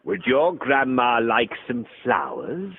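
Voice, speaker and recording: A sly wolf from a children's storybook cartoon, speaking with oily politeness. The delivery is slow and husky, swinging dramatically up and down as it coaxes. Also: male voice, mid-range voice, huge pitch swings, phone-quality sound, full character voice. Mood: sly, polite. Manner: falsely sweet and polite. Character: wicked wolf, slyly polite, sweet-talking predator.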